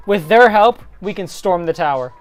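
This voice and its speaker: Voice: mid-range voice, lively intonation, normal speed, faint music behind, close to an everyday voice. A narrator voicing a brash, eager young male fantasy hero in a story reading. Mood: scheming.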